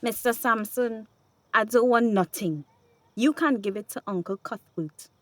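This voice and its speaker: An actress playing a distressed but defiant young daughter in a radio drama, with a Tobagonian accent. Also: female voice, on the high side, a little slow, no room sound, close to an everyday voice. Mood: resigned. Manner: pleading, defiant.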